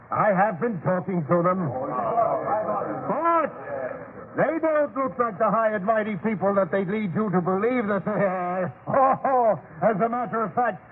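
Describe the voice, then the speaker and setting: An actor in a 1940s radio drama playing a blustering but fair-minded man of authority, commanding and declamatory. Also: sits high. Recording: noisy muffled 1940s radio broadcast.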